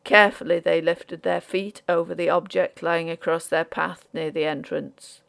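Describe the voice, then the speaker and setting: A woman reading scripted audiobook narration in a measured, deliberate way, as for a Victorian ghost story. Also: lowish voice, flat delivery, gravelly, a bit bright.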